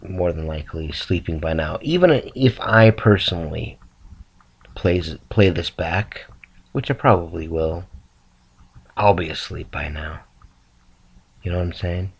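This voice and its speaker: A man sick and rambling softly to his camera late at night in a raspy, half-whispered voice. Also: sits low, lo-fi sound. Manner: slow, meandering, soft-spoken. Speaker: vlogger.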